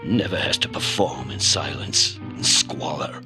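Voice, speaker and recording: A world-weary, cynical male detective played by an actor narrates a noir radio play. His voice sits extremely low and moves slowly. He broods through his monologue like a hardboiled cop. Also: over music. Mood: impassioned, bitter.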